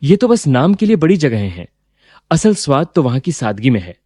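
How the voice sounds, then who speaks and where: Cartoon story role: impatient, ambitious young son, male actor, lively intonation, soft dark timbre, dismissive edge. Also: a little high, brisk, no room sound, clean studio sound. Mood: boastful. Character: ambitious, impatient young business graduate, son of eatery owner. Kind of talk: Hindi cartoon story voice acting.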